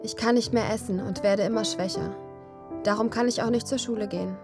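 A woman's voice: mid-range voice, steady tone, fairly quick, music in the background, natural voice. A woman voicing a sad, tender young female blue bird in an audio play, with a wistful, pleading manner. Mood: sad, longing.

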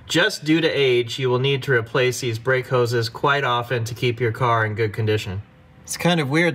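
A man's voice: mid-range voice, a bit bright. A man narrating a car repair step by step, practical and instructive, as the host of his own workshop videos.

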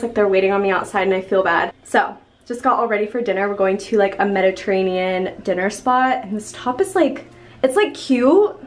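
A woman in her twenties talks to her vlog camera in a casual, chatty way. Her voice sits at a medium pitch and she rambles along apologetically. She is recorded on a handheld camera in a small bathroom. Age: 20s. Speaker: vlogger. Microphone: handheld camera at arm's length.

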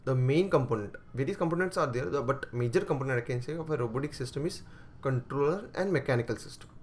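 A man's voice: medium pitch, fast talker, darkish tone, a little hiss or hum. A man with an Indian accent giving an explanatory video lecture, switching between languages and repeating phrases as he goes through slides.

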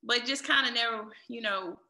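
A woman's voice: a little high, normal speed, pushed and bright. A woman answering casually as an interview guest, talking in an encouraging, motivational way. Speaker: interview guest, air traffic controller and DJ. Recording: roomy, echoey sound.

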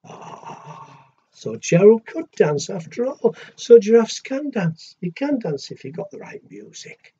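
A man in his sixties reading a children's picture book aloud, expressive and drawn-out, with asides to young listeners. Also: high voice, breathy edge, muffled recording. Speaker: storyteller. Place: small wooden shed, indoors.

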